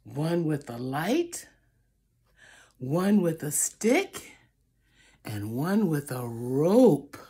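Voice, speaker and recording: Slow, animated older woman reading a storybook. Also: sits low, slightly rough. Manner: theatrical, drawn-out phrasing with asides to listeners. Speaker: storybook reader. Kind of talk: animated read-aloud of a picture book for children. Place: small room at home, indoors.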